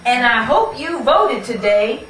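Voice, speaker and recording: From across an echoey room, a woman hosts a puppet show as its storyteller. Her delivery is folksy and playful, with very lively swings in pitch as she speaks straight to the listeners. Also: unhurried. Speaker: narrator and host of a puppet show. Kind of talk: live theatrical storytelling to an audience. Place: live show in a room, away from the mic. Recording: echoey room from far off, noisy.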